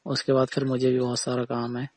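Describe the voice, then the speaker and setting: A man's voice: medium pitch, completely flat delivery, a little fast, no room sound, lightly acted. A man playing a defensive tailor who keeps explaining himself insistently to a customer in a casual phone conversation. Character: defensive male tailor arguing patiently with a customer.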